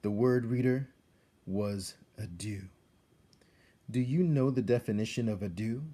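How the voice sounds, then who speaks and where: A man narrates a storybook in a low, dark voice with a husky grain. His delivery is measured and dramatic, as if drawing the listener into the tale. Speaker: read-aloud narrator. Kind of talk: expressive scripted storybook narration.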